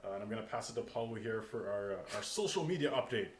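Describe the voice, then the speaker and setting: Echoey low-voiced man hosting a podcast. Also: expressive, quick pace. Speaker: podcast host. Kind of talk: casual panel discussion. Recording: roomy echo with clear background noise.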